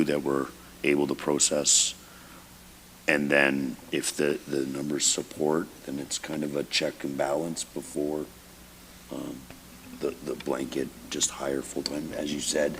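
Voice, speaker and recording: A man with an extremely deep voice speaks as deputy mayor, feeling his way through a point with loose phrasing and many fillers. His delivery holds flat, with a bright, forceful edge, over a faint hum of room noise. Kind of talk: council debate. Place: council chamber.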